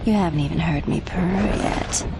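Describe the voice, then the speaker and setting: An actress playing a sly, flirtatious cat burglar in a video game, teasing, sultry and mocking. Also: female voice, fairly low pitch, normal speed, very noisy, natural voice.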